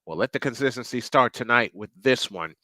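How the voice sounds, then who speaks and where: A man's voice: mid-range voice, expressive, a little fast, bright, forceful tone, dry recording. A man hosting a live sports stream, talking to viewers in a chatty, earnest way and calling the teams as they take the field. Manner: chatty, earnest, sometimes restarts phrases. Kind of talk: live play-by-play style commentary.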